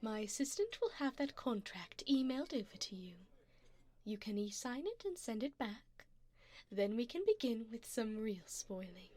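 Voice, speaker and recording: A woman performs an elegant, moneyed vampire mistress for an ASMR romance roleplay. Her voice sits on the high side and swoops expressively. She coaxes and pampers with refined endearments, indulgent and doting throughout. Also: very animated, moderate pace, darkish tone. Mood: pleased, doting. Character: wealthy, doting older vampire sugar mommy, indulgent and elegant.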